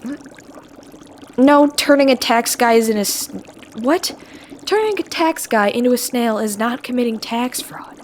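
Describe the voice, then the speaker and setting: A woman's voice: fairly high pitch, animated, a little slow, slight background noise. A woman voicing a mischievous male trickster witch who teaches potion-making in an audio roleplay. The witch snickers, teases and stumbles over hesitant fillers. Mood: playful, sly. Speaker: potion tutor.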